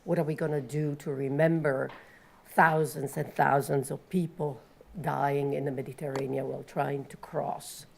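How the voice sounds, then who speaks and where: A woman with an Italian accent, a professor moderating a panel and introducing speakers in an earnest, measured way. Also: deep voice, flat delivery, creaky, a little hiss or hum.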